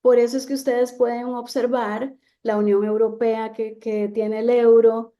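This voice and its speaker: A woman's voice: a little high, flat delivery, a little slow, mellow. A woman in her thirties answering questions in an online talk on trade, hesitant, with many fillers and restarts. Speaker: guest speaker on trade. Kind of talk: lecture Q&A.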